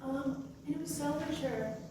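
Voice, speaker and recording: A woman's voice: sits high, normal speed, noisy background. A woman in an audience offering spontaneous praise and asking a question. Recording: echoey distant mic, loud crowd.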